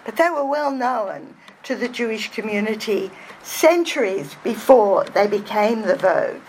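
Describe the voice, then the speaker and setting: A woman, giving a measured, scholarly lecture to an audience as an author. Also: medium pitch, expressive, slight background noise, low-quality recording. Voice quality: soft voice. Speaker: guest speaker, author. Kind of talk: public lecture. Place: hall before an audience.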